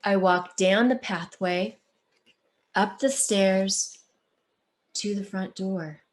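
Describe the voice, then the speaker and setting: A woman author who reads aloud and talks in an expressive, deliberate storytelling manner. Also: mid-range voice. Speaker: children's book author. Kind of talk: picture-book read-aloud and conversation.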